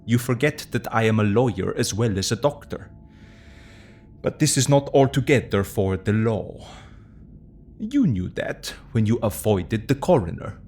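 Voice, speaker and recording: Older doctor, secretive and serious, quaint phrasing, voice swings up and down, audio drama. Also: male voice, fairly low pitch, big pitch swings, darkish tone, slight background noise. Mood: firm, secretive. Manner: deliberate, quaintly inverted foreign phrasing. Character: grave, learned older foreign male doctor, secretive and courteous.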